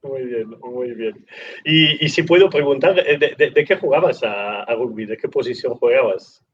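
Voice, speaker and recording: A man's voice: mid-range voice, moderate pace, highs cut off, slight background noise. An Italian man hosting a casual interview in Spanish, speaking with an Italian accent and many restarts and fillers. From Italy. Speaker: host.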